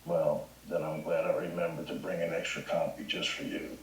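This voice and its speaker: An actor at a table read playing a stern high school teacher with a formal, measured, dryly sarcastic manner. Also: male voice, little pitch movement, reverberant, a little hiss or hum, low-quality recording. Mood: dryly menacing. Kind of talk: live comedy table read.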